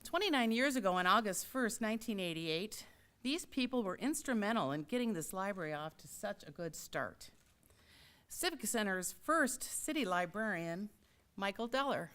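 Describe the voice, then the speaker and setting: A woman's voice: medium pitch, lively intonation, moderate pace, a bit bright, smooth. A woman, a library director, welcoming guests and announcing names at a dedication ceremony. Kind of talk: ceremony speech.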